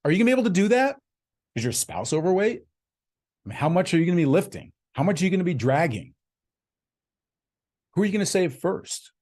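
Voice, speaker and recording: A man's voice: on the high side, a little mellow. A man in his fifties hosting a podcast, delivering a blunt, emphatic motivational monologue. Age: 50s. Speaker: podcast host.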